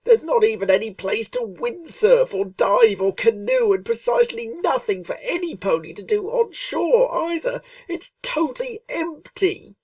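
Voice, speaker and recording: A woman voicing a brash, impatient young female pegasus pony who complains loudly and whines. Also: on the high side, very animated, measured pace, hardly any pauses, no room sound, recorded from a distance, voice pushed up. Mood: irritable. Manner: whiny, loud, complaining.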